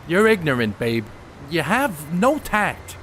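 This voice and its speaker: A man's voice: fairly high pitch, very animated, slow pace, fairly bright tone, a little hiss or hum, heavily acted. A man playing an educated, secretive ballplayer in a 1930s stage play, speaking in a precise, dry, condescending way. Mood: blunt, exasperated. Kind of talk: amateur stage play acting, 1930s period dialogue.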